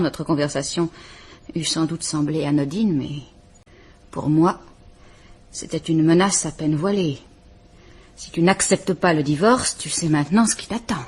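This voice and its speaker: An actress playing a frightened, formal estranged wife who is visiting a police inspector, speaking in a restrained, measured way. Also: female voice, fairly low pitch, a little mellow, slightly rough. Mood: grave, firm. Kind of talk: 1950s French radio drama acting.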